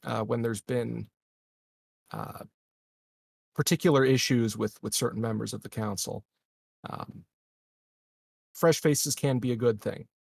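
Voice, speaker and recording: A man roleplaying a measured, diplomatic colony administrator in a tabletop game, speaking formally and haltingly. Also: mid-range voice, creaky, darkish tone, slightly rough, no echo, studio quality.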